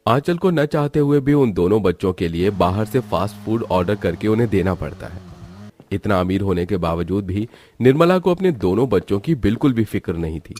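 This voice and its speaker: A man's voice: fairly low pitch, lively intonation, fairly quick, darkish tone, a little hiss or hum. A man reading scripted narration for a moral cartoon story.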